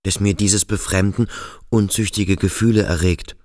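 Very deep, brooding pupil played by a man. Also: fairly even intonation, a little mellow, dry recording, studio quality, lightly acted. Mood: brooding, uneasy. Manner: slow, deliberate, self-questioning. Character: brooding, introspective schoolboy pondering his own strangeness. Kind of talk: literary radio drama monologue.

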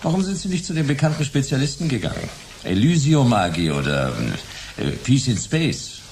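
An actor playing a terse, suspicious private detective in a radio drama, dry and probing in manner. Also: male voice, mid-range voice, very animated, moderate pace, noisy background, lightly acted.

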